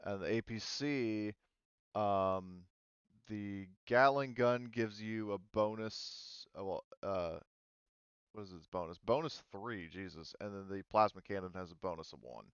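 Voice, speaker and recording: A man running a tabletop roleplaying game as game master in casual talk, thinking aloud with many pauses. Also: lowish voice, clean tone, no room sound, muffled recording.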